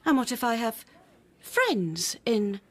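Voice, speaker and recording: An actress playing a frank, worldly café widow in a radio drama, blunt and teasing, with a husky voice. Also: female voice, on the high side, sing-song, slow, a bit bright, heavily acted. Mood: wary, businesslike.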